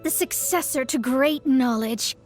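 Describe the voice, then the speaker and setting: An actress playing a proud, self-assured young astrologist in an anime-style video game, speaking in lofty, formal phrases. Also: female voice, high voice, a little slow, faint music behind. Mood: confident, lofty.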